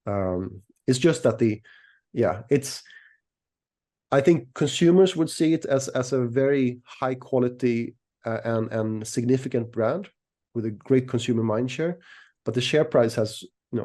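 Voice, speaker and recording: Mellow middle-aged man from Sweden. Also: mid-range voice, normal speed. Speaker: interview guest, equities analyst and newsletter writer. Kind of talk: conversational interview explanation.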